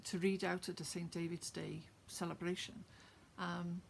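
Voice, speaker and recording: A woman's voice: on the low side, level tone, normal speed, vocal fry, a little hiss or hum. A woman with a Welsh accent, chatting to her viewers with many fillers before reciting her own rhymed poem. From Wales. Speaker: poet sharing her own work. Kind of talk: casual chat, then a rhymed poem recitation.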